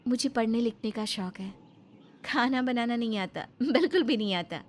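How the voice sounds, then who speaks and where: A woman playing an assertive, ambitious young daughter in an animated moral story. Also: fairly high pitch, normal speed, a little hiss or hum, close to an everyday voice. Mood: firm, assertive.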